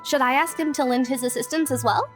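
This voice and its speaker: A woman's voice: high-pitched, fast, soft background music, lightly acted. A woman playing an eager, supportive and polite young female office colleague in a video game.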